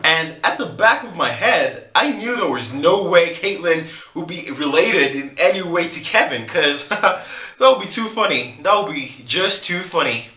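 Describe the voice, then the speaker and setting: A man vlogger telling a comic story to camera in an animated, joking way, laughing between lines. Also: fairly high pitch, a little slow, reverberant.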